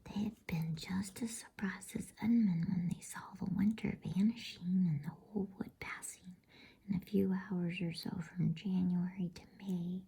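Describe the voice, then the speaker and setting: A woman reading softly in a breathy whisper, in a soothing, unhurried ASMR bedtime story style. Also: lowish voice, fairly even intonation. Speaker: host and storybook reader.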